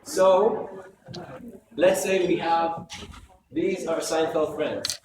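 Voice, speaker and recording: A hoarse male lecturer teaching a class, repeating key points and often checking in with "okay?". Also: fairly high pitch, fairly even intonation, drawn-out words. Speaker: university lecturer. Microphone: close microphone. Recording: echoey classroom from far off, noisy.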